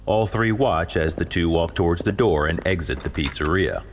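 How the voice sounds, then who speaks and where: A man's voice: sits low, expressive, moderate pace, slight background noise. A man reading scripted narration for an audio drama.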